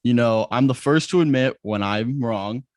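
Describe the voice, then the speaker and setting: Young man, podcast host, casual chatty interviewer, mid-pitched voice, dry close sound. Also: moderate pace, darkish tone, no echo. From Indiana. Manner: chatty, self-deprecating, many restarts.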